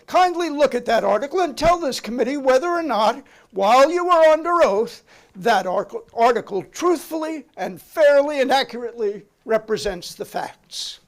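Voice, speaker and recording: A man's voice: extremely high pitch, animated, normal speed. A man playing a formal, insistent committee counsel questioning a witness in a dramatic reading of a 1950s hearing. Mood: prosecutorial, formal.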